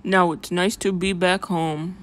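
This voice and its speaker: Woman voicing an earnest, low-pitched storybook fish. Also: fairly even intonation, a little slow, natural voice. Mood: content. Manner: earnest, rhythmic rhyming delivery. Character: earnest travel-loving fish on his first vacation. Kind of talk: children's storybook rhyme.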